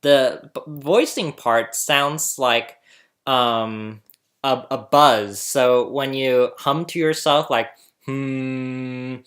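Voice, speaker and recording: A man's voice: mid-range voice, very slow, a bit bright. A man hosting a casual tutorial, chatty and laughing often as he explains, recorded on a laptop mic indoors. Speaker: host explaining phonetics. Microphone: laptop webcam mic.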